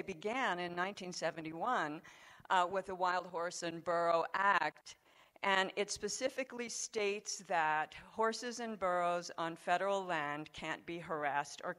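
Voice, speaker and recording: An older woman giving a conference talk from a podium in a large hall, speaking into a handheld microphone in a measured, conversational way. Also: lowish voice, fairly bright tone. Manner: measured, conversational lecturing with occasional fillers.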